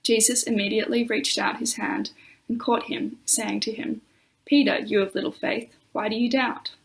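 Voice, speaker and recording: A woman reading scripture aloud in a measured, solemn way during a church service. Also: on the high side, level tone, creaky, rough recording. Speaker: scripture reader.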